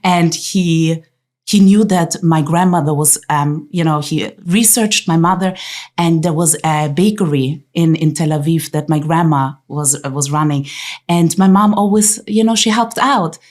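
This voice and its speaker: A woman from Austria speaks in a low voice as a podcast guest. She tells her story haltingly, with frequent fillers. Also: a little mellow. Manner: halting, many ums, laughs easily. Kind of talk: telling her life story.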